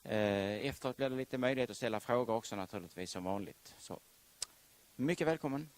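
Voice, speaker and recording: A man introducing a guest speaker at a university lecture, halting with frequent fillers. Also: mid-range voice, smooth. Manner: hesitant, many fillers. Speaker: lecture series host. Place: lecture hall.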